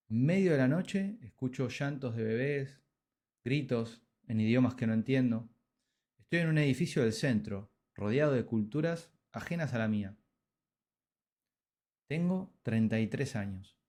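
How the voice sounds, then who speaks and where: A man's voice: mid-range voice, darkish tone. Argentine man narrating his own story. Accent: Argentine Spanish. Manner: reflective storytelling with dramatic pauses. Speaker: podcast host. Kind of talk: scripted personal narration.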